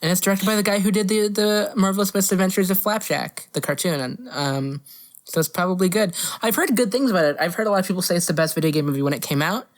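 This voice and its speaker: A woman's voice: lowish voice, quick pace. A woman co-hosting a podcast in casual movie chat, talking bluntly and swearing freely. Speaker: podcast co-host.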